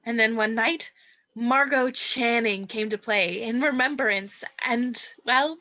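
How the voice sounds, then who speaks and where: A woman's voice: on the high side, slow pace, pushed and bright, highs cut off. A woman playing a modest, earnest, stage-struck young woman in a radio play. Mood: sorrowful. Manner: modest, hesitant, polite.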